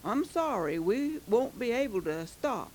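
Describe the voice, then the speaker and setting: Kindly captain, soft low voice, a woman putting it on, slow, old hissy radio tape. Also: sing-song. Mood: gentle. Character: kindly ship captain, calm older man.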